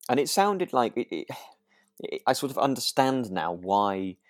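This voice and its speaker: A man co-hosting a podcast in casual chat, speaking earnestly in a hesitant, halting way. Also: mid-range voice, big pitch swings, no echo, clean studio sound. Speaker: podcast co-host. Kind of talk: relaxed casual chat about a radio drama.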